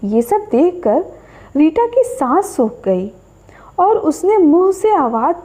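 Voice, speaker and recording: A woman narrating a scripted horror story as a storyteller, with an expressive delivery. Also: high voice, takes it slowly.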